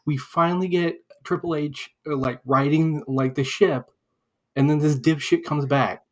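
A man's voice: a little high, steady tone, a little slow. Impassioned, profane man debating casually. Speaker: podcast co-host.

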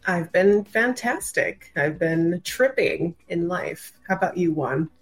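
A woman's voice: lowish voice, expressive, slow, soft background music. A woman guest on a podcast, explaining her ideas in a casual, conversational way.

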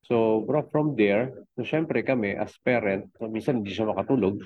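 Filipino guy chatting as a podcast guest, lowish voice, halting phrases, sounds like a phone line. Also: no echo. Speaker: podcast guest, fitness coach. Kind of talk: casual conversational storytelling in Taglish. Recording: tinny phone-like connection.

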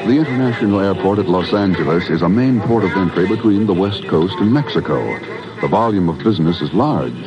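Male 1950s radio announcer, dull vintage sound. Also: low-pitched. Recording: dull old radio recording, loud music.

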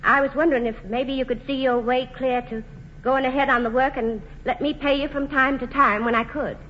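Actress as a weary, plain-spoken American housewife, muffled radio. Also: female voice, high voice, steady tone, a little fast, lightly acted. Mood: worried, resigned. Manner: homespun, rustic, plain-spoken. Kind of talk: 1940s radio acting. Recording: dull vintage radio broadcast, some noise.

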